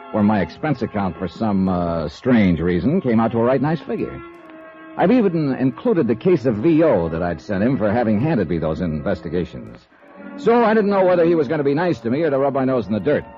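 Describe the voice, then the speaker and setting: A man's voice: mid-range voice, natural voice. A man playing a wry, breezy freelance insurance investigator in 1950s radio noir style, wisecracking as he narrates his cases. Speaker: lead actor, narrator. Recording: muffled old radio recording, faint music.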